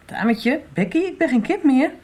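A woman's voice: high-pitched, animated, normal speed, a little mellow. A young woman playing a defiant, guarded runaway girl in a radio soap, curt and snappy. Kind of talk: 1990s Dutch radio soap acting.

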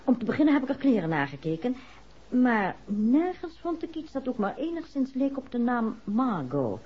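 An actress playing a polite, hurried society lady in a 1960s radio drama. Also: fairly high pitch, big pitch swings, slightly rough. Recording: dull, faintly noisy old radio recording.

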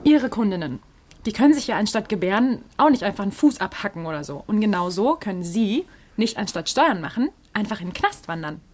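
A halting husky tax clerk. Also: female voice, mid-range voice, big pitch swings. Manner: halting, hesitant. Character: helpful muddled tax office clerk.

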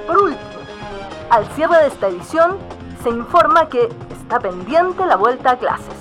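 High, animated newsreader, played by an actress. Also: female voice, over music. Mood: formal. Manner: formal, measured announcing. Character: formal female radio newsreader of 1970s Chile. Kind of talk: scripted news bulletin.

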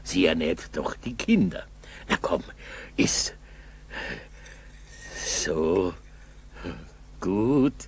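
Very husky, folksy old village grandfather. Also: low voice, animated, exaggerated voice. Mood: tender coaxing. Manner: folksy, coaxing, halting storytelling. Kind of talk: 1960s German school radio play acting.

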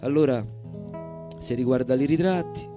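A man with a Roman accent giving an expressive, theatrical live recital of comic verse in dialect. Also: medium pitch, moderate pace. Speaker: stage performer. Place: live stage at a social centre event. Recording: live evening show recording with music.

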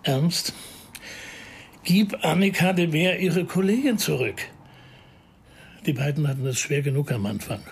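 An actor playing a blunt police veteran who insistently stands up for colleagues in a radio crime drama. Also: male voice, on the high side, a little slow, creaky, pauses often, slight background noise.

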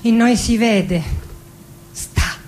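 Slow, declamatory passionate woman poet. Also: fairly high pitch, a little mellow, slight background noise. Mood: bitter, tender. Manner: declamatory, dramatic, lingering on words. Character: passionate, proud, defiant Argentine woman poet in her forties. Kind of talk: theatrical poetic monologue with music. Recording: voice over live musical accompaniment. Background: music with accordion and guitar.

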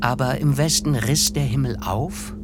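Male actor as wistful storyteller guy in a radio drama, medium pitch, measured and thoughtful, music behind. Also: close to an everyday voice. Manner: measured, contemplative. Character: reflective male narrator, observant friend, wistful. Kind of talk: literary radio play narration.

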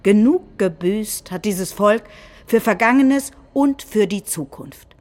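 A woman's voice: medium pitch, animated, slow pace, darkish tone. A woman as a solemn, declamatory nationalist leader. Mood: rousing, combative. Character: combative nationalist head of government giving a rousing speech. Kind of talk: staged reading of a political speech.

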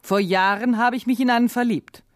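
A woman's voice: a little high, fairly even intonation, a little fast, no room sound, studio quality, natural voice. An actress playing a cynical, world-weary murderess in a noir radio play, cool and sardonic in her delivery. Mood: weary, bitter.

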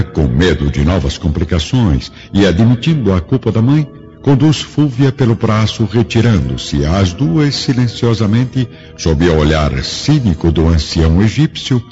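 A man's voice: deep voice, dark tone. A man with a Brazilian Portuguese accent giving scripted, dramatic narration for a radio drama. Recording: muffled radio drama recording with music.

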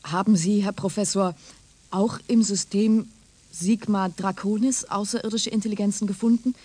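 An actress playing a polite, deferential and slightly hesitant young interviewer in a radio play. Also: female voice, mid-range voice, fairly even intonation, moderate pace, dark tone, husky, no room sound, close to an everyday voice. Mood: curious. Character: polite, hesitant young interviewer, secretly an alien robot envoy. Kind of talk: German radio drama acting.

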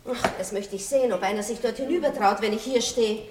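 An actress playing a worldly, aristocratic princess with an ironic, animated way of talking in a 1960s radio play. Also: female voice, on the high side, fast talker, reverberant, a loud crowd, lightly acted. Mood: urgent. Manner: animated, ironic, breaks into laughter. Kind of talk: 1960s radio drama acting.

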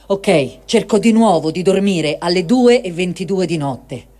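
A performer reading the diary of a war-weary, sleepless man, in short, deliberate, separated phrases. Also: medium pitch, animated, a little mellow, close to an everyday voice. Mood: anxious, exhausted.

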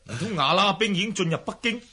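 Forceful, indignant young swordsman hero. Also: sits high, lively intonation, quick pace, breathy edge, exaggerated voice. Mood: probing, indignant. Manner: declamatory, scornful. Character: righteous young martial-arts hero, indignant at corrupt courtiers. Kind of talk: Cantonese historical radio drama acting.

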